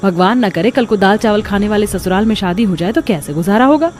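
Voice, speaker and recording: A woman's voice: mid-range voice, animated, brisk, darkish tone, slight background noise, lightly acted. A woman voicing an exasperated, grumbling mother in a cartoon story. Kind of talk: Hindi cartoon story acting.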